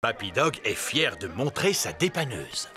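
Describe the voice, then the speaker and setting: A man's voice: mid-range voice, big pitch swings, unhurried, over loud music. A man narrating a children's cartoon from a script in French.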